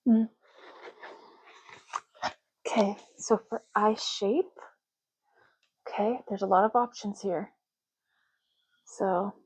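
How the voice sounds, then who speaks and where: A woman performs a gentle ASMR roleplay in a soft, breathy voice that edges toward a whisper. Her delivery is very slow and casual, lingering on words and repeating them. The tone is mellow and the recording is slightly dull. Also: mid-range voice. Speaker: ASMR creator playing an ID card clerk in a roleplay.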